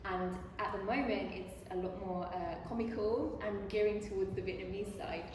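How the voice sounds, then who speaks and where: A woman's voice: medium pitch, fairly even intonation, normal speed. A British woman asking a panel a question, chatty and playful as she tells a personal story. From Britain. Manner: chatty, playful, with laughs in her voice. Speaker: audience member asking a question. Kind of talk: casual anecdote and question. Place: large gallery room. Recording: echoey gallery hall from far off, noisy.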